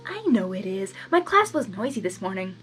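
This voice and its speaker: A woman's voice: medium pitch, big pitch swings, moderate pace, a bit bright, faint music behind. A woman voicing a cheerful young female pony schoolteacher, sweet and chatty, in a storybook reading.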